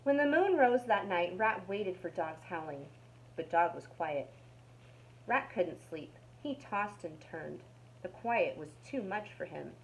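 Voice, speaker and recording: A woman's voice: lowish voice, lively intonation, slow, vocal fry, slight background noise, poor audio. A woman narrating a children's storybook aloud as a storytime reader.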